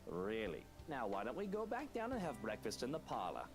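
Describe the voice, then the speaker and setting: Exasperated, indulgent godparent for a visual novel: low register, hugely varied intonation, dry teasing. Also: female voice, huge pitch swings, a little fast, heavily acted. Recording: music behind the voice.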